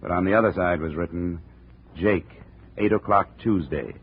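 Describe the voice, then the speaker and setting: An actor playing a terse, dry American investigator in a 1950s radio detective drama. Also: male voice, low-pitched, normal speed, slightly rough. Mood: dry, narrating. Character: hard-boiled, dogged investigator narrating his own case. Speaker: lead actor. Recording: narrow-band old radio recording, faint noise.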